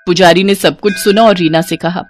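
A woman's voice: on the low side, steady tone, moderate pace. A woman storyteller reading scripted narration for a moral story.

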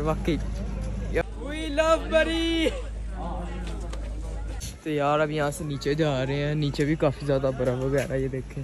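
Excitable young man. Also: on the high side. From Pakistan. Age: young adult. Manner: chatty, excitable, talks while walking. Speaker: vlogger. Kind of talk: casual travel vlog. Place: busy snowy hill-town street outdoors. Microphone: phone held at arm's length. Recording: loud crowd noise outdoors. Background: crowd chatter.

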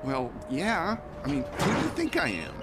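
A bewildered young pizza guy from a cartoon game. His pitch leaps all over in innocent confusion, with music behind. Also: huge pitch swings, normal speed. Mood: puzzled. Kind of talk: animated game cutscene acting.

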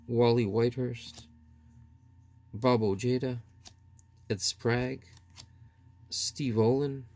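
A man hosting his own card-opening channel, calmly reading out player names one by one in casual commentary, recorded indoors at a table. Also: mid-range voice. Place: indoors at a table, hands on camera only.

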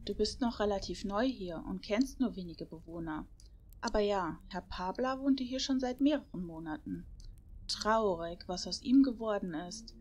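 A woman's voice: mid-range voice, normal speed, darkish tone, lightly acted. A woman playing a kindly, regretful shelter manager in a parody audio drama.

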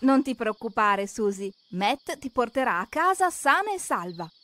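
High, lively woman narrator. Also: normal speed. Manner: expressive, questioning, addresses characters directly. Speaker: narrator of a children's cartoon. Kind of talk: animated children's storytelling, talking to the characters.

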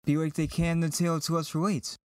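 A man's voice: fairly high pitch, level tone, fairly quick, no echo, clean studio sound, close to an everyday voice. An actor playing an earnest, eager young farm boy hero in a Shakespearean dramatic reading. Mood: anxious, questioning.

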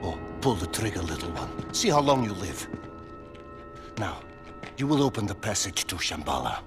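A deep, menacing warlord. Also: male voice, very animated, music in the background. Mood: threatening. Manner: slow, deliberate, menacing, contemptuous. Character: menacing, ruthless male warlord, middle-aged commander, cold and volatile. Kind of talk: video game cutscene acting.

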